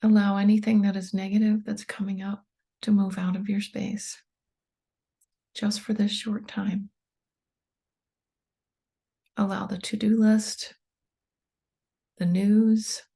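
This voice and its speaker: Soft, breathy woman guiding a meditation. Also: mid-range voice. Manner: calm, soothing, unhurried with pauses. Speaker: meditation guide, tapping coach. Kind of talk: guided meditation.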